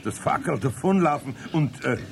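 Casting: a kindly older Bavarian craftsman, gravelly, slight noise. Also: on the high side, animated, normal speed. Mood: polite. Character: kindly older male master carpenter, patient. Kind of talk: 1970s radio play acting.

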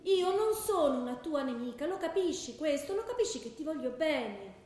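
An insistent young girlfriend in a radio drama, worried and pleading. Her voice is high and very animated, sounding in a strongly echoing room. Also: moderate pace. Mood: pleading, exasperated. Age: young adult. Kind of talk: stage dialogue in radio theatre. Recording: very echoey theatre room.

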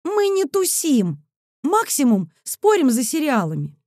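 Woman acting a high, touchy, flustered young woman. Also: huge pitch swings, unhurried, a little mellow, dry recording, studio quality, exaggerated voice. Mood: indignant. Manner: exclaiming, indignant. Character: Freya, touchy, easily flustered woman of twenty-nine.

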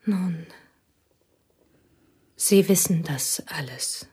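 A husky, whispering confidante. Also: female voice, low-pitched, very slow, mellow, full character voice. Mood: intense. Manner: intense, intimate confession. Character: plain devoted woman confessing to a pianist. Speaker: confiding companion. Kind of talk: radio play acting.

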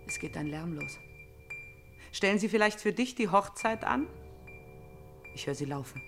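An actress playing a haunted, vengeful young princess in a theatrical radio tragedy, declaiming intensely between hushed murmurs and outbursts. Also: female voice, on the low side, animated, moderate pace, music in the background. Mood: hushed, morbid.

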